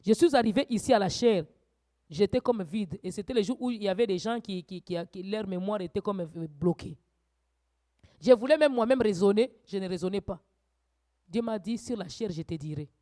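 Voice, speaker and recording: A woman preacher teaching a church sermon, swinging from quiet asides to loud, urgent exhortations. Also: mid-range voice, normal speed.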